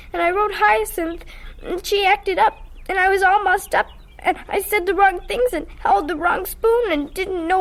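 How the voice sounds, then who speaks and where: An actress playing an earnest, flustered young farm girl with a childlike voice in a radio drama. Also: very high-pitched.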